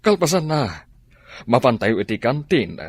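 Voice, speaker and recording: A man's voice: medium pitch, sing-song, moderate pace, breathy edge, no room sound. An actor with a Filipino accent playing a courteous, charming businessman in a radio drama. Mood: apologetic. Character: courteous businessman eager to charm young college women. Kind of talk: Ilocano radio drama acting.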